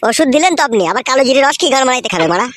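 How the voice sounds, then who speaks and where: Female performer as grumbling husband, hapless, very quick speech, comedy cartoon. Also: high-pitched, expressive, no echo, clean studio sound. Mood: puzzled, grumbling. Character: hapless village husband with two wives.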